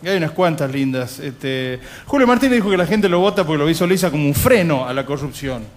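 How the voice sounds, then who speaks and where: A man's voice: on the high side, animated, fairly quick. An Argentine man co-hosting a comic radio show, chatting and joking over news items. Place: bar, live radio broadcast before patrons. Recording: live bar crowd behind.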